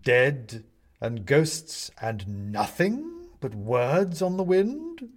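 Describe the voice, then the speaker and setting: A pompous old-court style owl judge from a ghost story. The voice is pitched up and swoops widely. Every phrase is declaimed with drawn-out, self-important grandeur. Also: male voice, animated, darkish tone. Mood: solemn, theatrical.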